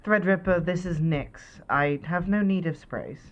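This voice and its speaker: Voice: medium pitch, measured pace, background noise, close to an everyday voice. An actor playing a solemn, formal shapeshifter. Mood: grave. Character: grave, formal shapeshifter leader. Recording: muffled lo-fi phone call.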